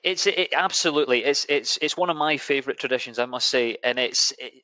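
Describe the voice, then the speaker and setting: A man's voice: mid-range voice, a little fast, sharp tone, no room sound. A man with a Scottish accent, an admissions officer answering interview questions with enthusiasm and frequent restarts. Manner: enthusiastic, hesitant with many restarts.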